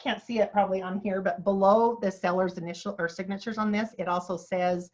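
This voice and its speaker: A woman teaching a real estate class on listing paperwork, chatty and full of asides and fillers, with a dry throat she keeps clearing. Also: mid-range voice, fairly even intonation, fairly quick. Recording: dull lo-fi sound.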